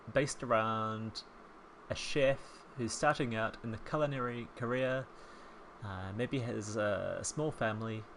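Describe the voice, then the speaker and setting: A man in his thirties with a soft New Zealand accent, chatting casually as a voice-over while he builds a home in a life-sim game, rambling and self-deprecating. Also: mid-range voice, a little hiss or hum. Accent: soft New Zealand, softened by years in England. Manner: chatty, rambling, self-deprecating, with frequent ums and small laughs. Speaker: Sims speed-build creator.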